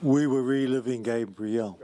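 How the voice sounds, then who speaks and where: A man's voice: mid-range voice, steady tone, moderate pace, mellow. A man speaking unscripted as a local resident in a news interview.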